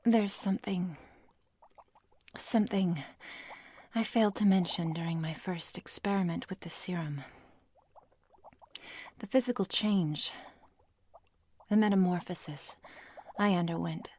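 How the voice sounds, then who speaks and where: A woman playing an obsessive scientist who craves her serum, in a breathy, dramatic whispered ASMR roleplay with deliberate, formal wording. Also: lowish voice, mellow. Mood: intense relief. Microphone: close ASMR microphone.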